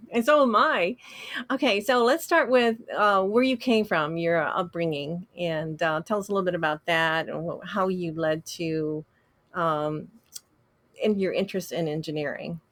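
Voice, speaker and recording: Woman host asking halting questions. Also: lowish voice, a bit bright, dry recording. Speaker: interview host. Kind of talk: casual interview.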